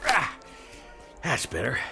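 An actor playing a hearty, gruff but tender frontier prospector in an American Western radio drama, with a folksy way of talking. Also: male voice, medium pitch, wildly swooping pitch, slow, pushed and bright, soft background music, full character voice. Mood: wry, friendly.

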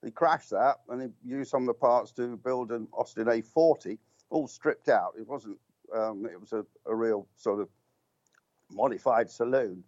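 An older man reminisces on air, rambling through anecdotes and stumbling over restarts. His mid-pitched voice comes over a slightly muffled, dull line. The sound is dry, with no room around it. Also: normal speed, a little mellow, no echo. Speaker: guest motorsport commentator. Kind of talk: reminiscing radio talk.

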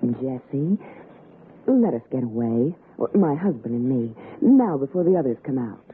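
An actress playing a scheming, cajoling city wife in a theatrical old radio suspense style. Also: female voice, rumbling low, sing-song, a little slow, husky, phone-quality sound, exaggerated voice. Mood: coy coaxing. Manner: coaxing, theatrical. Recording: thin, noisy 1960s radio recording.

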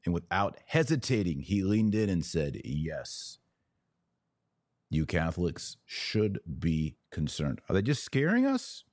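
A man's voice: deep voice, huge pitch swings, takes it slowly, a little mellow, no room sound, studio quality. An American man hosting a podcast, giving emphatic political and religious commentary and explaining point by point. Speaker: podcast host.

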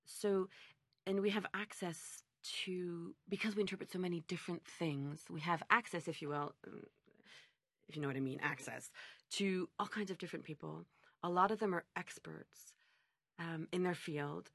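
Middle-aged woman from montreal, low gravelly voice, chatting thoughtfully in an interview. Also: moderate pace, fairly bright tone, no room sound, studio quality. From Montreal, Canada. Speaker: conference interpreter being interviewed. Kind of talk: conversational interview answers.

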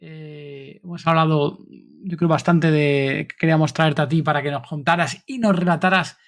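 Presentador español de voz rasposa. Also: male voice, a little high, steady tone, moderate pace, a bit bright. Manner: friendly, steers the conversation. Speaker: podcast co-host. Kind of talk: casual interview chat.

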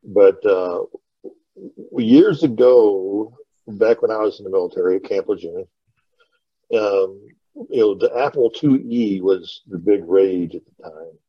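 A man's voice: on the low side, measured pace, breathy edge, dry recording. A middle-aged man chatting casually as a podcast host, telling rambling, informal stories over an online call. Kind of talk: casual reminiscing podcast talk. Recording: dull, lo-fi online call audio.

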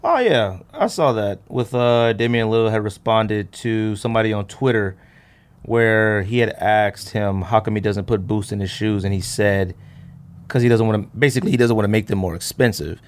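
A man in his thirties hosting a casual chat, rambling and thinking out loud in slangy talk. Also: fairly low pitch, moderate pace. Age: 30s. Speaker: podcast host. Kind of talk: casual chat about sneakers.

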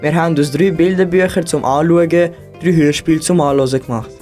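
A man's voice: a little high, fairly even intonation, fairly quick, a little mellow, faint music behind. A teenage boy co-presenting a scripted school radio programme. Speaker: student presenter.

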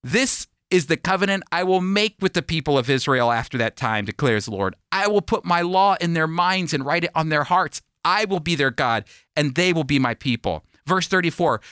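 Man, radio Bible teacher, slightly high forceful voice, emphatic explanatory delivery, dry close-miked sound. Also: clean tone, no room sound.

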